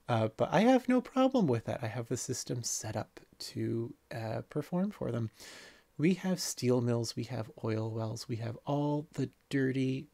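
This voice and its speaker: A man in his thirties casually narrating a tour of his city-builder game, relaxed and rambling with plenty of ums and uhs. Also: medium pitch, vocal fry, no echo. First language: not French. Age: 30s.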